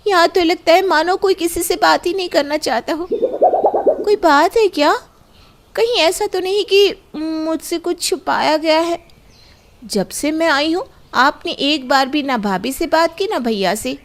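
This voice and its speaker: Very high-pitched, eager young bride by a woman. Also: unhurried. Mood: disappointed. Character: eager young new bride, outspoken and hopeful. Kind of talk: cartoon dialogue.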